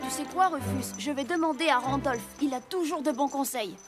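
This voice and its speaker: A woman's voice: high voice, brisk, close to an everyday voice. A woman voicing an earnest, conscientious young boy who is an apprentice Santa in a cartoon. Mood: doubtful. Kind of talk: French cartoon dubbing. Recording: music behind the voice.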